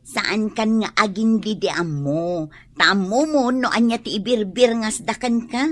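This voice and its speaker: A woman's voice: mid-range voice, unhurried, a bit bright, a little hiss or hum, close to an everyday voice. A woman acting a meddling, gossipy neighbour in a radio drama, needling and argumentative in manner.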